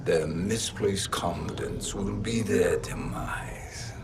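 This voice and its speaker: A man playing a scheming sorcerer villain in a video game, speaking in a measured, silky, gloating way. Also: deep voice, whispered. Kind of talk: cinematic video game acting. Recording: game dialogue over noisy ambience.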